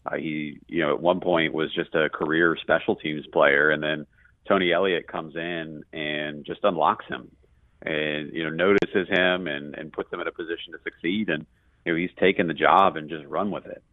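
A man giving conversational sports analysis as a radio play-by-play guest, in a halting way with self-corrections. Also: extremely low pitch, steady tone, no echo, narrow, tinny audio.

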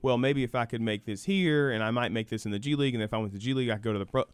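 A man answers questions on a live radio show in a dry studio. He talks quickly and conversationally, explaining as he goes. He often checks in with "right?" and acts out imagined quotes to illustrate a point. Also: medium pitch, smooth, no echo. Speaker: radio show guest, university program director.

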